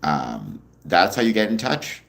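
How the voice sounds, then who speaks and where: Deep, gravelly-voiced man chatting on a livestream. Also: a little slow. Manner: relaxed, rambling, many ums, answers chat questions. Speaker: livestream host. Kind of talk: casual livestream chat reading viewer comments.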